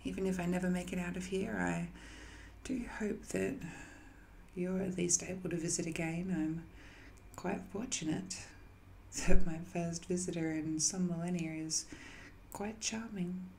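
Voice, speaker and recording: A woman playing an ancient banished evil spirit in an intimate audio drama, seductive, lonely and sly, with a coy, breathy delivery that often slips toward a whisper. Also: on the low side, fairly even intonation, unhurried, warm tone, slight background noise. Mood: teasing, seductive.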